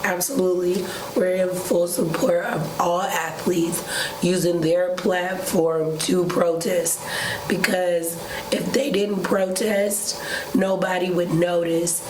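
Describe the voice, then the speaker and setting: A woman's voice: on the low side, level tone, slow. A young woman co-hosting a vlog speaks sincerely and solemnly, hesitating, with a voice she is trying not to strain. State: unwell, saving a strained voice. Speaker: vlog co-host. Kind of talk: sincere casual talk to viewers. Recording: echoey room with clear background noise.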